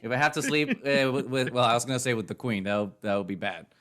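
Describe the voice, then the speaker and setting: Man talking in halting restarts. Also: medium pitch, fairly bright tone, a little hiss or hum. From Chicago area. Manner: halting, many restarts and repeated words. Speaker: podcast co-host. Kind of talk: casual review chat.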